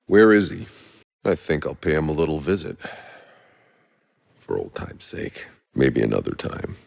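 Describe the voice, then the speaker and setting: An actor playing a weary, cynical, foul-mouthed sci-fi soldier for a video game, with a gruff, sardonic, laconic delivery and a gravelly, husky voice. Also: male voice, extremely low pitch, big pitch swings, measured pace, a little mellow, long reverb tail, exaggerated voice. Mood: gruff, casual.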